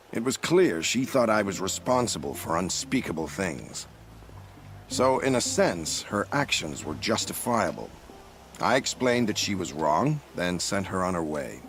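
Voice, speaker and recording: Smooth self-assured army prefect in a game, low voice that swings around a lot, super polite and formal. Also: male voice, very animated, slight background noise, heavily acted. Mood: smooth, evasive. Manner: polished, formal, measured politeness. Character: smooth, self-assured male military prefect feigning innocence. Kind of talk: video game dialogue acting.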